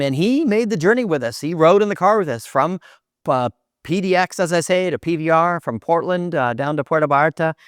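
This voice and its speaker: A man's voice: fairly high pitch, fairly bright tone, clear, resonant tone, no room sound, studio quality. A man hosting his own video channel, chatting casually and enthusiastically to his viewers. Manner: upbeat, enthusiastic, chatty with fillers. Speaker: vlogger host. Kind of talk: casual chat to camera.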